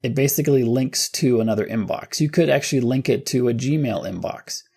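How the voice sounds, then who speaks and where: Casting: how-to presenter, medium-pitched with vocal fry, informal and conversational. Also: a little fast, a little mellow. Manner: conversational, informal, thinks aloud. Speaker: tech tutorial host. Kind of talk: casual step-by-step screen tutorial.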